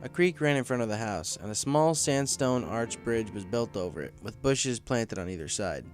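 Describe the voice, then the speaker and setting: A man's voice: medium pitch, lively intonation, moderate pace. A man reading a story aloud as scripted narration. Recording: faint music under the voice.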